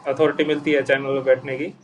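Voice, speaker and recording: A young man giving an informal, halting explanation as an interview guest, rephrasing step by step. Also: mid-range voice, level tone, highs cut off, a little hiss or hum. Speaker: interview guest, junior air traffic controller. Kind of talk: informal explanation in Hindi mixed with English terms. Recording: voice distant from the microphone.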